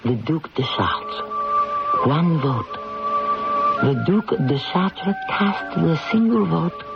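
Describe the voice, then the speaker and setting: A woman acting a proud, condemned French queen in a radio drama, with a regal, theatrical, deliberate delivery. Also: deep voice, very animated, gravelly, over loud music, heavily acted. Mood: bitter, reflective. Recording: muffled old radio recording, faint noise.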